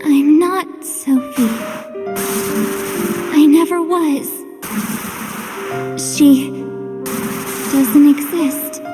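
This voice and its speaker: An actress playing a fragile young woman in a video game, halting, plaintive and despairing, with music behind her. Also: high voice, steady tone. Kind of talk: dramatic video game acting. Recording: music under the voice.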